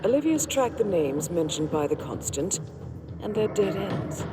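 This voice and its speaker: An actress playing a composed, resolute intelligence operative in a video game, speaking in a crisp, businesslike way. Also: female voice, on the low side, very animated, moderate pace, very noisy. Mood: grave. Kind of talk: cinematic game dialogue, mission briefing. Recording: loud music behind the voice.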